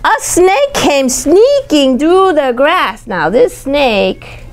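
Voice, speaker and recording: Animated, high-voiced woman reading a storybook. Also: extremely slow. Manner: animated, simple child-directed phrasing, often repeating and asking questions. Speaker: adult reading a picture book aloud to a young child. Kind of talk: expressive storybook read-aloud with explanations and questions to a child.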